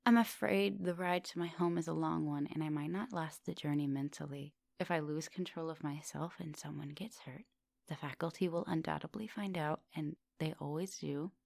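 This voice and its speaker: A woman playing a coaxing, soft-dominant vampire professor in an intimate ASMR roleplay, speaking with polite, formal phrasing. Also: low-pitched, moderate pace, gravelly, no echo. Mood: hushed, worried. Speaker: teacher.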